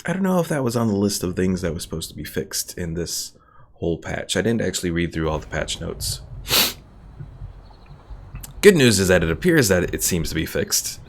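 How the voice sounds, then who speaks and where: A man's voice: sits low, big pitch swings, moderate pace. A man streaming a video game, giving laid-back, casual commentary as he thinks aloud and reads viewer comments.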